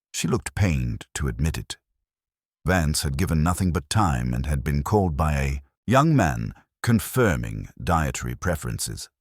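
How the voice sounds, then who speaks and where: A man reading a first-person detective story as a scripted audiobook, in a dry, measured storytelling manner. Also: a bass voice, slightly rough, no echo. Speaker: narrator and reader of a detective story.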